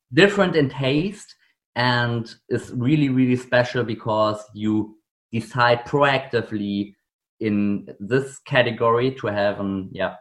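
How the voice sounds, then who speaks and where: A man's voice: medium pitch, fairly bright tone, poor audio. Earnest German-accented male founder. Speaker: guest, company founder. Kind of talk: interview answers.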